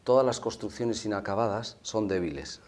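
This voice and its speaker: A man speaks with a low voice in a steady, measured way. He sounds like a learned university lecturer, building his points carefully and often adding a quick tag question. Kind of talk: conversational discussion.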